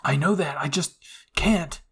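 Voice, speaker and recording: A man's voice: on the high side, a little slow, breathy edge. A man playing a hot-headed, frustrated teenage boy soldier in an anime story. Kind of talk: anime fan-fiction roleplay.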